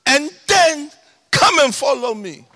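A man with a Ghanaian accent preaching a sermon as pastor, animated, breaking into shouts and sing-song emphasis. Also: very high-pitched, takes it slowly.

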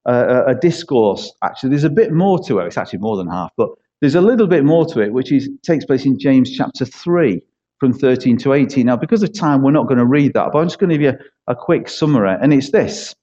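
Chatty Mancunian preacher with a mellow voice. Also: male voice, medium pitch, animated, quick pace, lo-fi sound. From East Manchester, England. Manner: chatty, self-deprecating, asides and rhetorical questions. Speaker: church leader preaching as a guest. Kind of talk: sermon with jokes.

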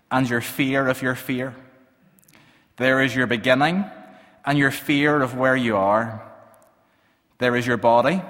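A man leads a church gathering in prayer, speaking very slowly and gently with a voice of middling pitch. Every pause rings on in a long hall reverb, as in a large worship space. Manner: slow, gentle, measured. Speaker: worship leader. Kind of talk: leading prayer and reading poems aloud.

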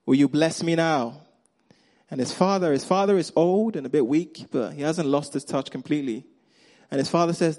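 Nigerian preacher telling Bible stories. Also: male voice, fairly high pitch. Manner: animated storyteller, acts out Bible characters' lines; swings between loud emphatic and quiet hushed delivery. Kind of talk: sermon.

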